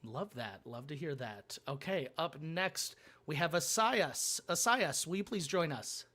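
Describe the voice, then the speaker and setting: A man with a high-pitched voice and an American accent. He speaks as a game show host, presenting and introducing players. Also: expressive, unhurried, bright, forceful tone. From Michigan, United States. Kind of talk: scripted show hosting, introductions.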